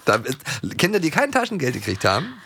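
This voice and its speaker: Man, casual bantering chat, clownish wordplay, gravelly fry, hugely varied intonation, dry recording. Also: on the high side, wildly swooping pitch, quick pace, noisy background. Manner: playful, clowning with words. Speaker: co-host of an audio drama magazine show.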